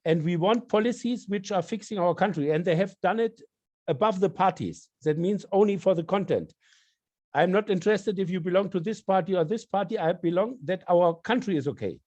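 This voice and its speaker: A man with a German accent hosting an online meeting, talking haltingly over a video call. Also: high voice, darkish tone. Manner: halting, repeats words, non-native phrasing. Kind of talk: casual video call discussion.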